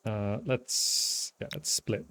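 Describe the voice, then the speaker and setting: A man's voice: lowish voice, slow pace, gravelly, pushed and bright. A man giving casual live commentary as he streams a strategy game, thinking aloud as he plays. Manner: thinking aloud while playing.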